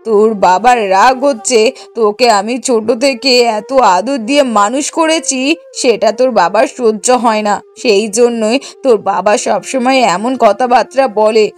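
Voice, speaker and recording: A woman playing a scheming, sharp-tongued middle-aged mother and wife in a moral-story cartoon, scolding and arguing. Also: a little high, close to an everyday voice. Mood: conniving, coaxing. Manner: bossy, scolding, argumentative.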